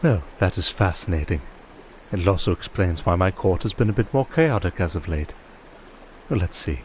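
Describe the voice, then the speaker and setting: A man playing a commanding, composed vampire archduke in a fantasy audio roleplay, speaking in a formal, measured, dryly teasing way. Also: low voice, animated, darkish tone, slight background noise, low-quality recording. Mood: calculating, composed.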